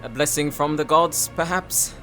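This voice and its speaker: A woman playing an excitable, high-strung tech expert in an audio drama, flustered, emphatic and dramatic. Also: normal speed, soft background music. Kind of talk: anime-style audio roleplay acting.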